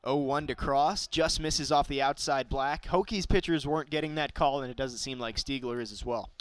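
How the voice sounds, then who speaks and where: A man's voice: mid-range voice, moderate pace, clear, resonant tone, dry recording. A young man calling baseball play-by-play for radio. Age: young adult. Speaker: play-by-play announcer.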